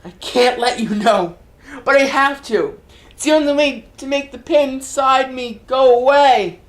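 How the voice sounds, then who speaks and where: A woman performing a dramatic reading as a tormented, despairing figure possessed by demons, swinging between hushed and loud pleading. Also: high voice, measured pace. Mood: fearful, pleading. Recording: roomy echo, music underneath.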